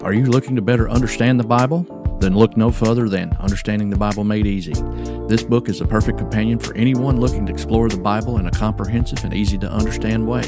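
A man's voice: lowish voice, fairly even intonation, fast, music in the background. A man hosting a podcast, reading sponsor messages in an upbeat promotional tone. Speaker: podcast host.

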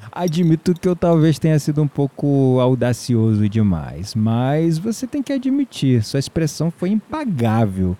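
Um ator brasileiro interpretando um homem tranquilo, brincalhão e sedutor numa áudio série, em tom de conversa. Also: male voice, fairly high pitch, big pitch swings, moderate pace, few pauses, mellow. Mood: playful, teasing. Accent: Brazilian. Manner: teasing, chuckling, conversational. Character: easygoing, flirtatious man, herb grower, playful and warm. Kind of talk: audio drama dialogue.

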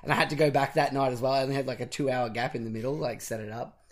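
A young man hosting a podcast in casual chat, his voice husky and raspy from a cold and singing, rambling and self-deprecating. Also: medium pitch, fairly even intonation, racing. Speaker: podcast host.

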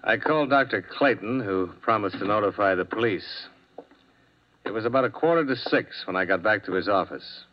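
A man's voice: on the low side, lively intonation, fairly quick, lightly acted. A man playing a wry, tough insurance investigator in a 1950s radio drama, narrating and talking in a clipped, dry, wisecracking way. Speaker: detective lead and narrator. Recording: muffled old 1950s radio recording.

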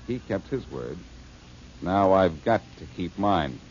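A man's voice: low voice, expressive, slow pace, background noise. An actor playing a wisecracking male detective in a 1940s radio drama, on a muffled old broadcast. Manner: breezy, wisecracking. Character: Boston Blackie, wisecracking adult male detective.

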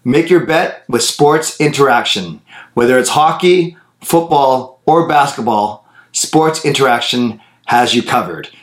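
A man reads an advertisement in a quick, salesy announcer delivery. His voice sits at an ordinary pitch and carries a roomy echo around it. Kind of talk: scripted ad read.

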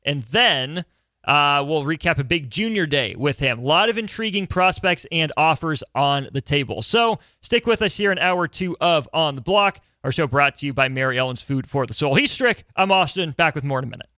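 A man's voice: fairly high pitch, animated, normal speed, bright, forceful tone, no echo, clean studio sound. A man with a polished radio voice hosting a conversational sports talk show.

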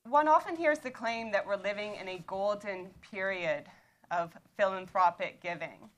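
A woman with a Canadian accent talks through her research to a panel audience. She explains steadily and methodically, sometimes doubling back to restart a phrase. Her voice sits at an ordinary middle pitch. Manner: measured, explanatory, with occasional restarts. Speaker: author and panel speaker. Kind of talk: lecture-style talk.

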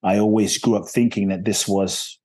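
Podcast guest, man, low husky voice, earnest and reflective. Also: steady tone, moderate pace, a little mellow. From Ghana. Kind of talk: podcast interview storytelling.

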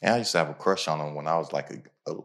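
Low-pitched male podcast host, casual and motivational. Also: very fast, vocal fry, a bit bright. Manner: motivational, talks in repeated punchy phrases. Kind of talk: casual conversational podcast talk.

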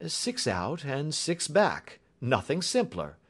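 A man playing a calm, dryly superior detective in an audiobook, speaking with deliberate assurance. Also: a little high, slightly rough, voice pushed up, exaggerated voice. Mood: dryly confident. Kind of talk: audiobook character acting.

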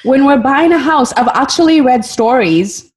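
A woman's voice: sits high, animated, moderate pace. A woman from Kenya speaking candidly in a group video-call discussion, thinking aloud and sometimes searching for words. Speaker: discussion participant.

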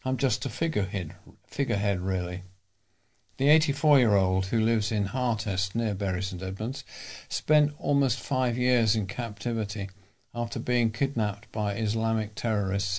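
A man's voice: fairly low pitch, darkish tone. A man reading a local news bulletin aloud as a volunteer newsreader.

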